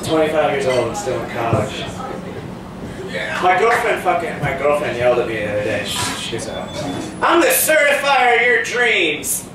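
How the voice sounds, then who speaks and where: A man's voice: on the high side, big pitch swings, normal speed. A man in his twenties doing live stand-up comedy at an open mic, ranting in a profane, incredulous way. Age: 20s. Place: small cafe comedy night, indoors. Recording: distant mic, echoey noisy live room.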